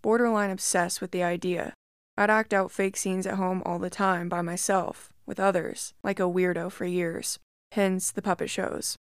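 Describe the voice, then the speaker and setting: Calm woman narrating a creepy story. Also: fairly low pitch, normal speed, clean tone, no room sound, studio quality. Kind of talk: reading a first-person creepy story.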